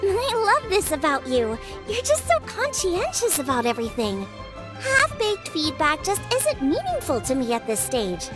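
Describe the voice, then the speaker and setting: A woman's voice: high-pitched, huge pitch swings, unhurried, full character voice. A woman voicing a cheerful, supportive young girl cook in a video game, earnest and reassuring. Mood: warm, earnest. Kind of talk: animated game voice acting. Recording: music behind the voice.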